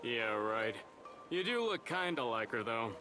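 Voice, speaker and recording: A man's voice: mid-range voice, big pitch swings, measured pace, music in the background. A man playing a chatty, cheeky street merchant in a video game, casual and teasing. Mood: amused, dismissive. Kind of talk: video game cutscene acting.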